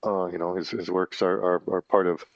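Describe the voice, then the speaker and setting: A man in his fifties talking in a casual rambling monologue, halting and full of fillers and self-corrections. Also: deep voice, flat delivery, dry recording, lo-fi sound. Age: 50s. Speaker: channel host.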